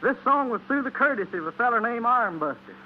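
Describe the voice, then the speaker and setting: An actor playing an easygoing, folksy hillbilly singer with rural talk in 1940s radio comedy. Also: male voice, very high-pitched, expressive, quick pace. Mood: folksy, friendly. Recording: muffled, noisy 1940s radio recording.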